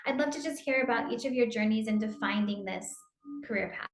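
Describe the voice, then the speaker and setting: A woman's voice: on the high side, a little fast. Effusive woman in an echoey room. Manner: enthusiastic, effusive, rambling questions. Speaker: interviewer. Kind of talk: interview host questions.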